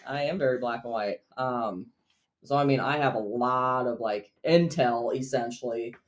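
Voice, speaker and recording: A woman co-hosting a podcast in casual chat, with a loud, blunt way of talking and a lot of swearing. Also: extremely low pitch, normal speed. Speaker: podcast co-host.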